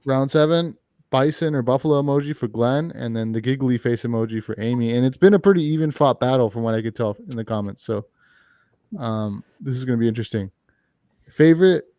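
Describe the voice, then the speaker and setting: A man co-hosting a livestream over a video call, reading viewer comments in a flat, dry way. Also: mid-range voice, fairly quick, no echo. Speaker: livestream co-host. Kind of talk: casual chat, reading comments.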